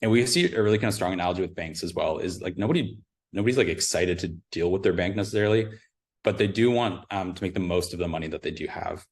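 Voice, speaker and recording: A man's voice: low-pitched, quick pace. A man giving an informal case-study presentation as a brand strategist, explaining things conversationally with frequent hedging filler phrases.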